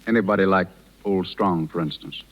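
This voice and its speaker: An actor playing a gentle, worried small-town doctor in a 1930s radio drama. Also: male voice, sits low, a little fast, lightly acted. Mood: concerned. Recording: dull 1930s radio recording.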